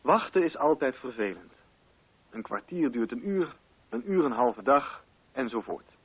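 A man reading literary narration for a radio play in a measured, formal and carefully articulated way. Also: medium pitch, big pitch swings, husky, phone-quality sound.

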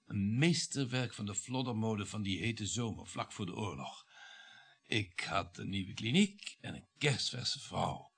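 An actor playing a sardonic, irritable middle-aged surgeon and husband in a radio play, with a needling manner. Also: male voice, lowish voice, expressive, unhurried, fairly bright tone. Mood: sardonic, reminiscing.

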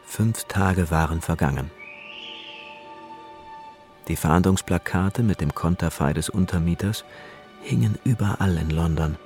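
An actor as the narrator of a radio drama, reading a measured scripted narration with music under him. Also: male voice, low-pitched, darkish tone.

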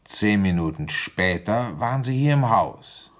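Very deep, slow-spoken weary policeman. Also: lively intonation. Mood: calm, knowing. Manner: deliberate, conversational, wry. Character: weary, shrewd provincial French policeman recounting his old case. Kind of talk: German radio play, first-person narration and dialogue.

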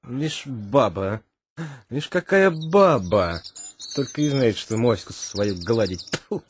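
An actor playing a brazen, grumbling fortune-hunter in a comic radio play, blunt and cocky, with music behind him. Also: male voice, mid-range voice, expressive, slow, slight background noise.

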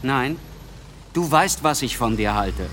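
A man's voice: mid-range voice, animated, slow, a bit bright, slight background noise. An actor playing a blunt, disapproving man in a radio drama, terse, cool and accusing. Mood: cold, accusing.

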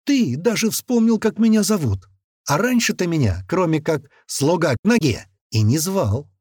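A man's voice: high voice, sing-song, moderate pace, a little mellow, no echo, clean studio sound, pitched above the performer's own voice. A man voicing a vengeful, mocking young gunman in an audiobook, barking and hissing commands with theatrical flair. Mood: sarcastic surprise.

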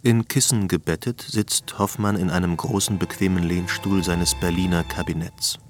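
A man's voice: low voice, steady tone, moderate pace, soft background music, close to an everyday voice. A man narrating a radio play from a script, setting the scene.

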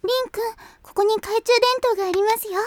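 A woman's voice: very high voice, fairly even intonation, fast talker, no echo. A woman playing a sweet, timid, devoted teenage girl in an anime audio drama, polite and easily flustered. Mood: caring.

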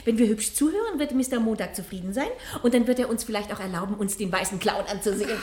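An actress playing a catty, curious, vain housewife in a radio drama, with an arch, gently mocking delivery. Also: female voice, medium pitch, lively intonation, quick pace, a little hiss or hum, lightly acted. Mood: curious, coaxing. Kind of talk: 1970 German radio drama acting. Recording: roomy old radio studio.

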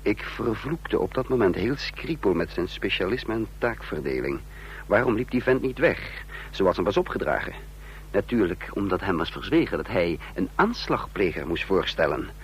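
Animated, urgent male conspirator. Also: low voice, moderate pace. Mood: tense narration. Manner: urgent, emphatic, dramatic. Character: tense male conspirator, commanding, exasperated hero-narrator. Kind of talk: radio drama acting with first-person narration. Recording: noisy, humming old radio recording.

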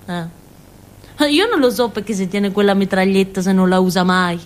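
An actress playing a naive, chatty village fool in a theatrical monologue, with a southern Italian accent and a rambling, giggly way of talking. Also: female voice, mid-range voice, moderate pace, lightly acted. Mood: wondering, earnest. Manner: rambling, giggly, with frequent interjections and tag questions.

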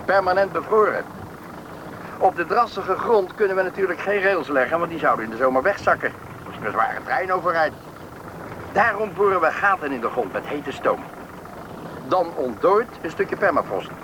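An actor playing a helpful, talkative settlement official who politely explains things to newcomers in a Dutch radio play. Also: male voice, a little high, expressive, natural voice. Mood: informative, proud. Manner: explanatory, polite, brisk guide. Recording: old radio play, faint background noise.

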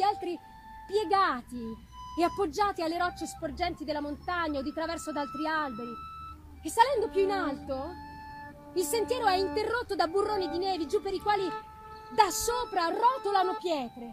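A woman reading a gothic novel aloud as dramatic narration, with solemn, theatrical, deliberate phrasing. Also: extremely high pitch. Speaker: literary reader. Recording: noisy live performance recording. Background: background noise and ambience of live performance.

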